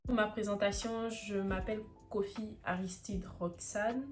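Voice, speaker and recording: A woman's voice: mid-range voice, steady tone, a little slow, over loud music. Hesitant young Ivorian woman. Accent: Ivorian French. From Ivory Coast. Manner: hesitant, searching for words, many fillers. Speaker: YouTuber. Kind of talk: casual vlog talk to camera.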